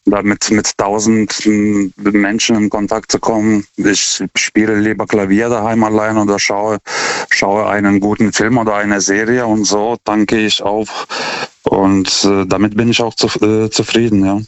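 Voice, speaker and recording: A man's voice: lowish voice, little pitch movement, measured pace, no echo. A man, not a native speaker of the language, calling in to a radio show and talking hesitantly with many fillers and restarts. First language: not German. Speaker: caller. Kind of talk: call-in radio chat. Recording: dull phone-line audio.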